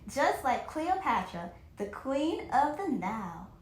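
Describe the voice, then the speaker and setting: A woman's voice: high-pitched, big pitch swings, slow pace, roomy sound, heavily acted. A woman voicing a friendly, sociable boy from a children's storybook with a cheerful manner.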